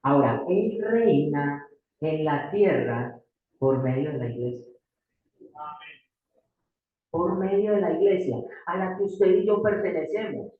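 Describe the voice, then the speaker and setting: A Colombian man with a Paisa accent preaches with a fairly high voice, leaning on repetition and rhetorical questions. He sounds distant from the mic in a very echoey church room. The sound is thin and narrow, like a phone line. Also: expressive, takes it slowly, long pauses. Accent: Colombian Paisa Spanish. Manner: emphatic, repeats key phrases, asks the audience rhetorical questions. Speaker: pastor. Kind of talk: sermon. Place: church hall.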